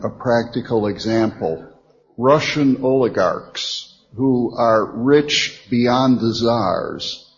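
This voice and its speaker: A man on a church panel, speaking deliberately with some hesitations. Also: medium pitch, highs cut off.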